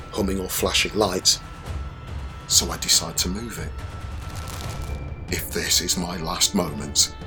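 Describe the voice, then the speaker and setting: A deep-voiced young man confides his story in a radio drama monologue. He is wry and anxious, with music running clearly under his voice. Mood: tense, playful. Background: music behind the voice.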